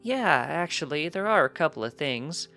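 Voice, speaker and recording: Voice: medium pitch, big pitch swings, moderate pace, pushed and bright, soft background music, voice pushed up. A narrator voicing a precocious young pony colt, earnest and cheeky, chatting eagerly in a matter-of-fact way for a story reading. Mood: insistent.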